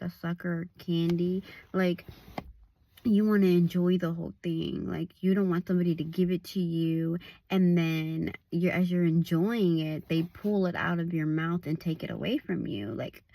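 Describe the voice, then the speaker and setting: Woman, evangelist, raspy gravelly voice, slow unhurried delivery, casual devotional chat, dry close sound. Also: fairly low pitch, warm tone, no room sound. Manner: loose and conversational, many "like"s and restarts. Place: outdoors at a park overlook.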